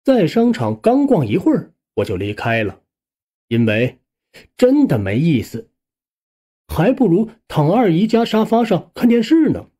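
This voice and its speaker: Expressive male audiobook narrator with swooping pitch. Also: a little slow. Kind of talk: scripted first-person story narration.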